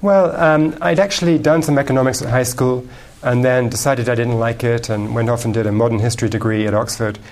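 An English man with a faint American tinge to his accent, speaking in a fairly low voice. He answers an interviewer at length, fluent and thoughtful, with frequent ums and restarts as he gathers his thoughts. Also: darkish tone. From England. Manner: fluent but with frequent ums and repeated words. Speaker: guest author.

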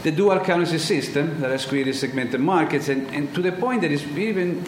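A man from Italy with an Italian accent, giving an economics conference talk and often pausing on ums and uhs. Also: on the high side. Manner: halting, many ums and uhs, searching for words. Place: large lecture hall. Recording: echoey hall with background noise.

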